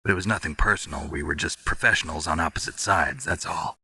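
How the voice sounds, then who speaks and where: Very raspy low voice, forceful, weary soldier, stoic, terse, video game drama. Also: male voice, quick pace, no echo, full character voice. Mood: reflective. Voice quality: very raspy, gravelly, husky. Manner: terse, clipped, deadpan. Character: gruff, weary male war-veteran soldier, stoic and blunt.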